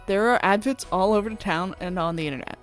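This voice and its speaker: A woman's voice: medium pitch, fast, lightly acted. A prim and earnest virtual pop idol, voiced by a woman reading a visual novel, speaks with careful courtesy and stilted formality. Her mid-range voice sits over background music. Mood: troubled, earnest. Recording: music playing behind the voice.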